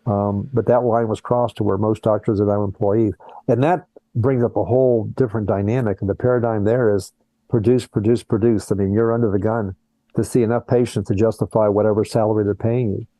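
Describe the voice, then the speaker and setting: A man's voice: on the low side, fairly even intonation, a little fast, dark tone, dry recording, rough recording. An older man who is a plastic surgeon, talking earnestly in a conversational interview over a video call and often restarting his words. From born in Colombia, raised in Brazil and the US.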